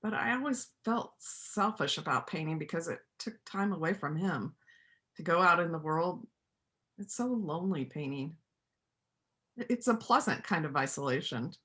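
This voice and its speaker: A woman's voice: fairly low pitch, animated, normal speed, fairly bright tone. A woman acting a lonely, plainspoken veterinarian and painter in a church reader's theater play. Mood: candid.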